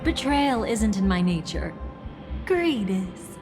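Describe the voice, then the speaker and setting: A woman's voice: mid-range voice, very animated, takes it slowly. An actress voicing a scheming, theatrical young woman in a video game, playful and mocking, with music underneath. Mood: gleeful. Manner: playful, mocking, dramatic swings in tone.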